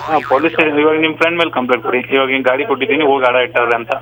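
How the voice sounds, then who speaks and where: A man's voice: medium pitch, fairly even intonation, rapid-fire, a bit bright, low-quality recording. A man answering a loan question on the phone in a blunt, impatient manner. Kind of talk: phone conversation.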